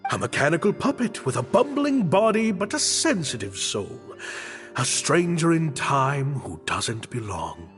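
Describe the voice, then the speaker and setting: Florid theatre director, wildly swooping pitch. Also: male voice, big pitch swings, unhurried, a bit bright. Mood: smooth, grandiose. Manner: grandiose, emphatic, florid phrasing. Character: theatrical, high-strung male director of a theatre troupe. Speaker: theatre director character in a video game. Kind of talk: dramatic game voice acting. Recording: reverberant studio voice with music. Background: background music.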